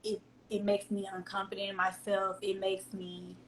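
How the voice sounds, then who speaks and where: A woman's voice: mid-range voice, completely flat delivery, moderate pace. A woman with a Southern American accent, chatting candidly as an interview guest and laughing often. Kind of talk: casual interview chat. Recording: echoey room with faint hum.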